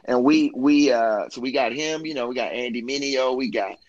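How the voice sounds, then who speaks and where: An enthusiastic man in an informal interview chat, speaking as a musician about his work. His voice has an ordinary middle pitch and is recorded very dry, with little room sound. His phrasing is loose and friendly slang. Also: moderate pace, dry recording, muffled recording. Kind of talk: casual chat about career and new album.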